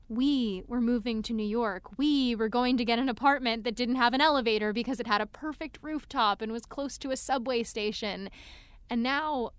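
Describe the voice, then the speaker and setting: A woman's voice: on the high side, a bit bright, smooth, no room sound, natural voice. A young woman playing an earnest, anxious, apologetic teenage girl in an audio drama, hesitant and rambling. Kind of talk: naturalistic audio drama acting.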